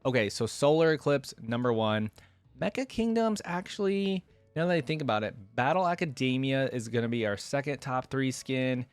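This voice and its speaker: A man's voice: mid-range voice, lively intonation, normal speed, smooth, no echo, soft background music. A man giving casual, unscripted reaction commentary as a video host, thinking aloud informally with frequent exclamations.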